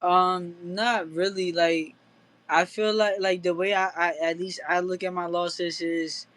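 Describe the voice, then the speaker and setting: A young man, a boxer answering interview questions casually. His voice sits a little high and stays very even in pitch. He is plain-spoken and colloquial. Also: a little slow. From Puerto Rico until age eight, then the United States. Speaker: professional boxer, interview guest.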